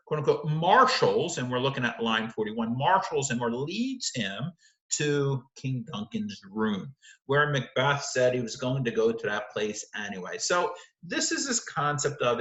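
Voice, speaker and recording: A man's voice: mid-range voice, sharp tone, echoey room, rough recording. A man teaching literature, walking through the text of a play and explaining it line by line, often correcting himself as he goes.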